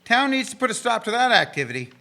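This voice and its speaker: A man's voice: very high-pitched, sing-song, quick pace, creaky, sharp tone, full character voice. A man playing a folksy 1890s bike shop worker in a community radio drama, with a colloquial, homespun way of talking. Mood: disapproving. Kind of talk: community radio drama acting in early live radio manner.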